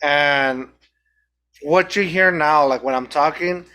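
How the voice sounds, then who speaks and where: A man's voice: on the high side, slow, sharp tone, clear, resonant tone. A young man with a light Mexican accent talks in a slightly raised voice, reflective and self-critical as he hosts a casual podcast. He restarts often and picks his words as he goes. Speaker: podcast host.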